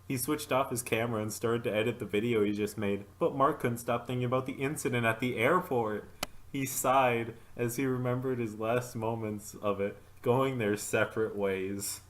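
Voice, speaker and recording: A man's voice: medium pitch, fairly even intonation, moderate pace, a little hiss or hum. A man reading a story aloud as an amateur narrator, in a dramatic storytelling style. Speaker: fanfic reader.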